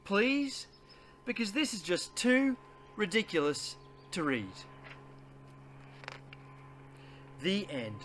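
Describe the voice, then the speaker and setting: A man's voice: sits high, big pitch swings, slow, a bit bright, a little hiss or hum, full character voice. A man acting out an exasperated, reluctant grown-up forced to read a silly children's storybook, whiny and melodramatic. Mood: pleading, exasperated.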